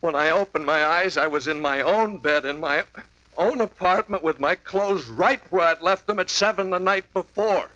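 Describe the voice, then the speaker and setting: A man's voice: high-pitched, normal speed, bright, forceful tone, no room sound, lo-fi sound. An actor playing a rattled, hungover man recounting a strange night in a 1950s radio drama. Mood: frantic. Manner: wavering from mumbling to frantic. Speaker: client telling a story.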